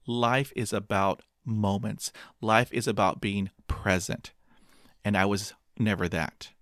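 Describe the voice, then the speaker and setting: Guest, man in his fifties, stutters, motivational, slow and deliberate, dry close sound. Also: lowish voice, fairly even intonation, fairly bright tone, no room sound. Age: 50s. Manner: stutters with repeated sounds and blocks; upbeat, motivational, repeats phrases for emphasis. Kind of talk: interview conversation.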